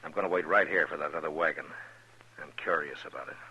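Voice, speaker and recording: A low, deep frontier marshal with an American accent, watchful and plainspoken. He gives orders tersely, in the style of a 1950s radio western. The old recording has clear crackle and noise over it. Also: fast talker, pushed and bright, full character voice. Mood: watchful, measured.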